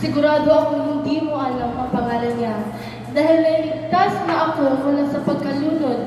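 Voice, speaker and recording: Woman as lovestruck legendary maiden, earnest and declamatory, high voice, distant in a reverberant hall. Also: steady tone, fairly quick, noisy background, natural voice. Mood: earnest confession. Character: young maiden of legend, daughter in love, earnest. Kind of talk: amateur radio drama acting. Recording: echoey hall, distant, music behind.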